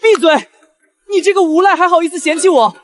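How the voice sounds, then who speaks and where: A man voicing a haughty, prickly rabbit spirit who serves as chancellor in an animated period drama. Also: very high voice, a little fast. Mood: indignant. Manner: haughty, indignant. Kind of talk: Chinese animated web drama voice acting.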